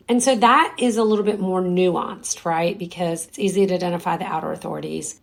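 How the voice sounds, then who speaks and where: A middle-aged woman hosting a podcast in a reflective, conversational way, her voice hoarse and strained. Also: fairly low pitch. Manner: reflective, conversational, many fillers. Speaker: podcast host. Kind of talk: solo podcast talk.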